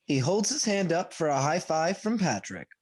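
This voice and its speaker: A man's voice: a little high, very animated, normal speed. A man reading stage directions matter-of-factly at a table read over a video call. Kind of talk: scripted narration at a table read. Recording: noisy video call with clicks.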